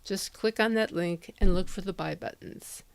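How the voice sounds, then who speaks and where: A woman's voice: mid-range voice, normal speed, creaky, clean tone, no echo. A woman hosting a podcast, giving a plain introduction into a close microphone. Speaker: podcast host. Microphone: close handheld dynamic USB microphone.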